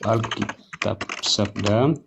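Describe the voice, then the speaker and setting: A man's voice: on the low side, extremely slow. A man in a casual online discussion, speaking tentatively and asking for guidance as a debate participant. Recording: muffled online call with clear noise, clicking.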